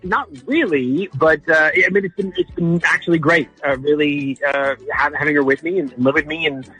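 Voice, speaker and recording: A man calling in to a radio show, telling his story casually in an earnest, halting way. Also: fairly high pitch, sharp tone, slightly rough, soft background music, poor audio. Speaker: caller.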